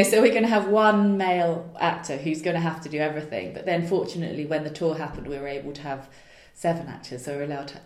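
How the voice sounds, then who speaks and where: A woman with a fairly low voice talks through her work as a playwright, earnest and searching for words. Her speech carries a roomy echo. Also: a little mellow. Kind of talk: reflective interview answers.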